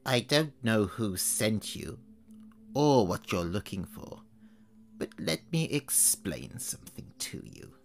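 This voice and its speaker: A man's voice: fairly low pitch, big pitch swings, slow, full character voice. A man voicing a suspicious old woman witch who lives alone, speaking sharply and interrogating. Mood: stern.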